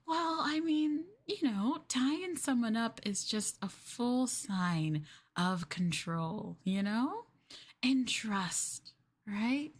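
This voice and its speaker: Slow, coy, possessive girlfriend played by a woman. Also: mid-range voice. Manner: coy, doting, giggly, hesitant phrasing. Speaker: ASMR roleplay streamer. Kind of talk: ASMR girlfriend roleplay, improvised-sounding.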